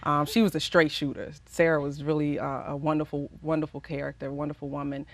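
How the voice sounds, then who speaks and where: A woman recording artist with a raspy voice, answering interview questions casually and reflectively, often pausing with ums. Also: low-pitched, little pitch movement. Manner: reflective, pauses with frequent ums.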